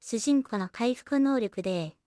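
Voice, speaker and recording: A woman's voice: on the high side, expressive, measured pace, dark tone, no room sound, clean studio sound. A woman giving casual work-along commentary as a solo creator, half talking to herself in short, trailing remarks. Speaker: solo animation creator and streamer.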